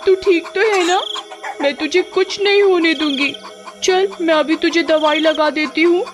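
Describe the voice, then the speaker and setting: A woman voicing a fussy, caring, excitable village wife in a cartoon, fretful and exclaiming. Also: very high voice, unhurried, music in the background, voice pushed up. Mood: fretful, tender.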